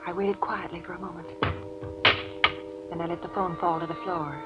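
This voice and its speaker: Actress as a genteel, calculating widow, vintage radio. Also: female voice, lowish voice, little pitch movement, normal speed, lightly acted. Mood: cool, composed. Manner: poised, precise, genteel diction. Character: coolly calculating widow feigning grief, genteel and composed. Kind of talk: 1940s-50s radio drama acting, first-person narration. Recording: dull vintage radio recording with music.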